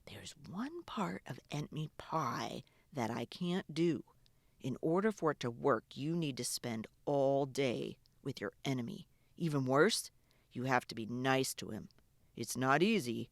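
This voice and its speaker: A woman voicing a calm, knowing, secretive father in a storybook, measured and confiding. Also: rumbling low, dry recording, voice pushed down, exaggerated voice. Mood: quiet, confiding. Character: calm, knowing, secretive dad of a young boy.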